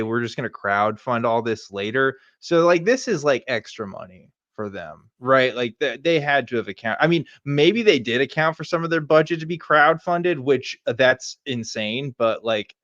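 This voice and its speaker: A man co-hosting a casual reaction show, speaking at an average pitch. His delivery is informal and hedging, full of "like" and "right?". The sound is dry and somewhat dull. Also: lively intonation, moderate pace, few pauses, a bit bright, dry recording. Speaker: show co-host. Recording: dull, band-limited dry audio.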